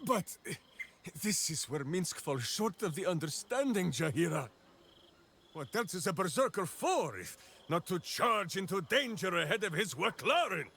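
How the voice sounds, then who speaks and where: An actor playing a hearty, simple-minded berserker ranger in a fantasy video game, speaking in grandiose, oddly phrased declarations. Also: male voice, high-pitched, sing-song, normal speed, vocal fry, fairly bright tone, slightly rough, exaggerated voice. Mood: proud, boisterous. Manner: grandiose, third-person heroic declarations with odd phrasing. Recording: music behind the voice.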